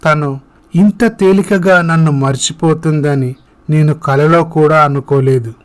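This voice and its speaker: A man's voice: on the high side, a little slow, natural voice. A man voicing an earnest, sorrowful young man in a dramatized moral story. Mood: heartbroken. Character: heartbroken young man confiding in his parents.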